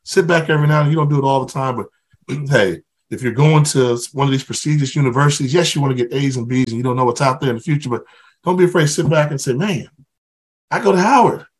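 A man's voice: medium pitch, fast talker. A middle-aged man, a former football player, talking reflectively as a podcast guest, easygoing with lots of "you know". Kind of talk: casual interview chat.

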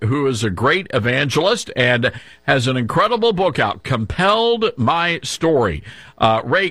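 A man's voice: mid-range voice, sharp tone, no echo. A man hosting a radio talk show, interviewing a guest in an upbeat, joking, conversational way.

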